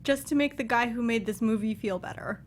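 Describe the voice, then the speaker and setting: Higher-voiced blunt woman, faint rumble behind. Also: fairly quick. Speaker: co-host of a film review show. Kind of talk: casual panel discussion.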